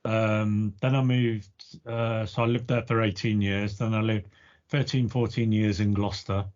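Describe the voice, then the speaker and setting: A man with a neutral English Midlands accent chatting easily on a podcast, thinking aloud with pauses. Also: on the low side, little pitch movement, a little mellow. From East Midlands, England. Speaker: podcast co-host. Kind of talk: casual chat. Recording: dull lo-fi podcast audio.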